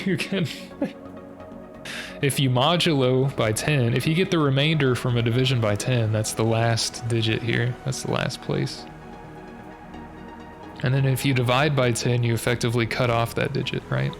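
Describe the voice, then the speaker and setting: Young guy streaming a puzzle game, gravelly and a bit stuffy-nosed, mumbling through logic, game music clearly playing behind. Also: medium pitch. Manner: hesitant, muttering, working through logic step by step. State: stuffy nose, a bit nasal. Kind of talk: thinking aloud while live-streaming a puzzle game.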